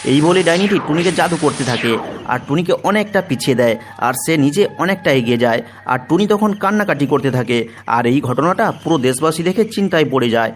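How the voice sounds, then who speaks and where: Higher-voiced man narrating cartoon fairy tales. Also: lively intonation, fairly quick, a little hiss or hum. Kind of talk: scripted storybook narration. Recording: music bed behind the voice. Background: background music.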